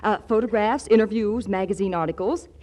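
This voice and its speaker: An actress in a 1940s radio comedy playing a shrewd, brisk publicity woman with a snappy, wisecracking manner. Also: mid-range voice, a little mellow, natural voice. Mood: scheming, eager.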